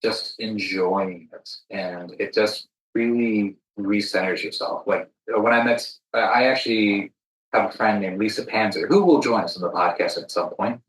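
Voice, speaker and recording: A man with a distinctly low voice hosts a conversational podcast. He speaks in a reflective, halting way, often doubling back on words. The room adds a clear echo around his voice. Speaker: podcast host.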